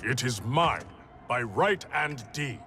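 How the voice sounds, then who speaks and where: An actor playing a proud warrior emperor who speaks in a grave, commanding way. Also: male voice, mid-range voice, lively intonation, takes it slowly, bright, forceful tone, slight background noise, exaggerated voice. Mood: stern. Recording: game cutscene with music.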